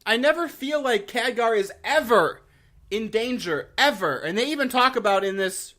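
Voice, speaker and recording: A man with a notably high voice hosts a casual review of game lore. He is blunt and exasperated, swears freely in loose slang, and slips into mocking asides as he argues his opinions. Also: animated, normal speed, pushed and bright.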